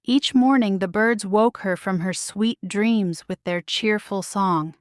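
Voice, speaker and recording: A woman reading a children's story aloud as a scripted narration, measured and expressive. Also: medium pitch, clear, resonant tone, no echo, studio quality. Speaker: storybook reader.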